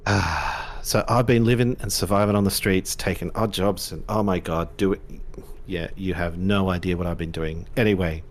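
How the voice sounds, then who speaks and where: A man's voice: deep voice, steady tone, moderate pace, a little hiss or hum, pitched below the performer's own voice, close to an everyday voice. Bitter, sarcastic young street survivor, played by a man. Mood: aggrieved. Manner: sarcastic, aggrieved. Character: bitter, sarcastic nineteen-year-old male street survivor. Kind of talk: improvised tabletop role-play.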